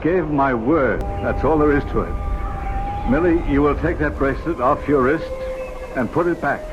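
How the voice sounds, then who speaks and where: A man's voice: on the high side, a little slow, muffled recording, lightly acted. A man playing a firm, authoritative uncle on an expedition in a 1970s radio drama. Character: older uncle, expedition elder. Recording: old radio drama with music behind.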